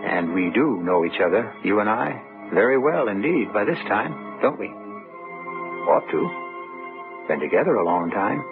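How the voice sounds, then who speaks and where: Animated, wryly confiding male radio host. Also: lowish voice, a little slow. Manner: intimate, wry, theatrical. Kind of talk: confiding scripted narration. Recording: tinny old radio broadcast. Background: music.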